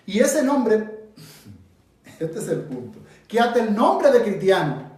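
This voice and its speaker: A man's voice: high-pitched, very animated, takes it slowly, a little mellow. A man with a Dominican Spanish accent leading an interactive Bible study, asking his group questions and pressing his points emphatically. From Dominican Republic. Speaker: Bible teacher. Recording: echoey room from far off.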